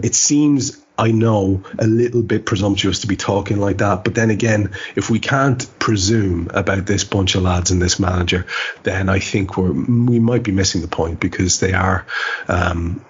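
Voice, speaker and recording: A man's voice: fairly low pitch, husky. An Irish man hosting a podcast in a casual, chatty and rambling way with a wry edge. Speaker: podcast host. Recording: dull, muffled lo-fi audio.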